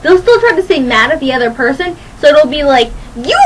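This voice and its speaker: A young woman hosting a radio show, rambling casually to listeners in a chatty, playful, animated and giggly way. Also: high-pitched, a little fast, heavy background noise. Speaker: radio host.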